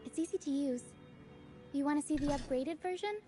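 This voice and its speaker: A woman's voice: high voice, a little fast, lightly acted. An actress playing a spirited, theatrical young female troupe fighter in a video game, eager to show off and guide. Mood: playful, showy. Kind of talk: video game dialogue acting. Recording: game music behind the voice.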